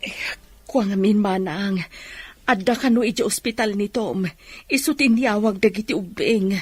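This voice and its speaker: A woman's voice: mid-range voice, normal speed, husky, no echo, lightly acted. A woman playing a worried mother in a radio drama, speaking in a homely, conversational way. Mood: matter-of-fact. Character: worried mother who lives alone.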